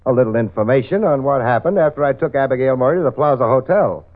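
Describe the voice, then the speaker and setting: A man's voice: mid-range voice, expressive, fast talker, lightly acted. An actor playing a sarcastic hard-boiled male private eye in a 1940s radio drama, narrating and trading dry wisecracks. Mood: wry. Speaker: detective narrator and lead. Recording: tinny old radio broadcast with music.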